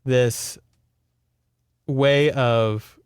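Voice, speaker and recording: A man's voice: mid-range voice, steady tone, extremely slow, darkish tone, clear, resonant tone, no room sound, clean studio sound. A man with an American accent chatting casually and wryly as he recaps a book as a podcast co-host. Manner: wry, informal, sarcastic.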